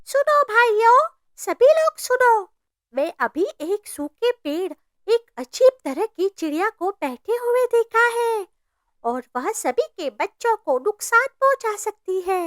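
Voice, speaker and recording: A woman's voice: very high voice, big pitch swings, slow pace, no room sound, clean studio sound, voice pushed up, heavily acted. A woman voicing an alarmist, scheming forest bird in a cartoon story, urgent, exclamatory and persuasive in delivery. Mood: alarmed. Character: squeaky, alarmist forest birds, scheming and pleading. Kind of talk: Hindi cartoon story voice acting.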